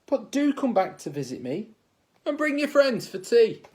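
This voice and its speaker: A man's voice: very high-pitched, sing-song, normal speed, darkish tone, pitched above the performer's own voice. A man voicing a stern, bossy old king, an uncle in a children's storybook. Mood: contrite.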